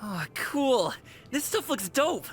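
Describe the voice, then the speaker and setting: An actress playing an easygoing, eager young delivery worker in a video game, casual and bouncy. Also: female voice, a little high, very animated, slow pace, over music. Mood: cheerful, eager. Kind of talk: video game character voice acting.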